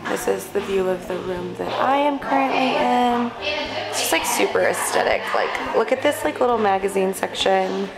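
A woman's voice: mid-range voice, normal speed, creaky, low-quality recording. A woman in her twenties chatting informally as a vlogger, casually narrating and peppering her sentences with "like". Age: 20s. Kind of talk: casual vlog chat and narration.

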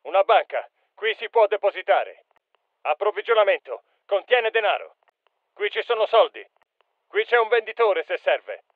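Urgent high-pitched soldier, muffled sound. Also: male voice, sing-song, moderate pace, no room sound. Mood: firm, alert. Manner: clipped, emphatic military delivery. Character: urgent male special forces soldier calling out battlefield alerts. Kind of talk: video game combat callouts.

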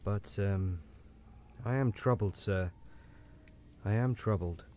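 A grave, uneasy railway signalman from a storybook, put on by a male reader. He talks low and hushed, almost at a whisper, in a deep, dark, mellow voice. He keeps his words measured and careful. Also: a little hiss or hum. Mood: troubled. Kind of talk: audiobook character reading.

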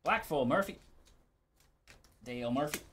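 A male host with a mid-pitched voice. He talks casually through a live card opening, calling items out in short, clipped phrases. His delivery is laid-back and conversational. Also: animated, measured pace, a bit bright.

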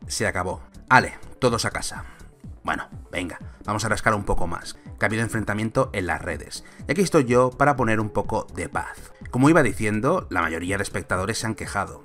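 A man's voice: on the low side, fairly bright tone. A man in his thirties from Spain, a film commentator giving an argumentative, sarcastic opinion vlog on a close mic.

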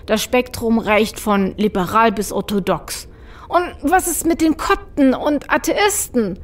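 A woman playing a gentle, level-headed troll in a fan audio drama, speaking in a measured, reasoning way. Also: fairly high pitch, lively intonation. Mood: reasoned.

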